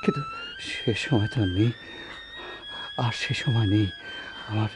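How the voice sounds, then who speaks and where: An actor playing a dying, embittered but tender doctor in a radio drama, speaking in a halting, poetic, theatrical way. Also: male voice, mid-range voice, wildly swooping pitch, background noise. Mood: despairing. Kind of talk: Bengali radio drama acting.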